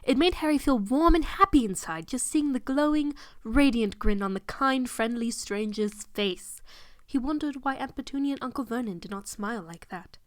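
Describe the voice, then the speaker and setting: High-voiced woman narrating a story, dry sound. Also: lively intonation, moderate pace, no echo. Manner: pointedly voices punctuation aloud. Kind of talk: mock-dramatic reading of fan fiction.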